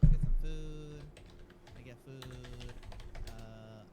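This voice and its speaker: Stammering man over clear background noise. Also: medium pitch, extremely slow. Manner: stammering, hesitant, repeats words. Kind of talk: improvised roleplay game chat on a livestream. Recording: clearly noisy livestream game audio.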